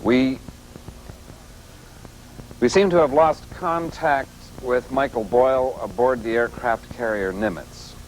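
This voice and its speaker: An actor playing a solemn, weary 1980s TV news anchor with measured, halting delivery. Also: male voice, mid-range voice, lightly acted. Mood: tense, urgent. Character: grave, weary male network news anchor covering nuclear crisis. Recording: noisy old TV broadcast audio.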